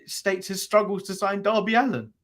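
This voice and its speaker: A man's voice: high-pitched, flat delivery, normal speed, a bit bright. A man co-hosting a casual wrestling recap show, laughing often as he runs through events with dry jokes. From England. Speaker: podcast co-host.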